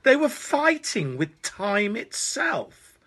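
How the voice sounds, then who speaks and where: Male storyteller for children's bedtime tale: bright, slightly husky voice, expressive and deliberate. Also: sits high.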